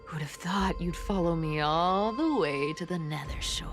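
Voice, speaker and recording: A woman voicing a smug, playful female trickster thief in a video game, theatrical and teasing with breathy giggles. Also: on the low side, normal speed, lightly acted. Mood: taunting, playful. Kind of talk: anime-style video game voice acting. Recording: game music underneath.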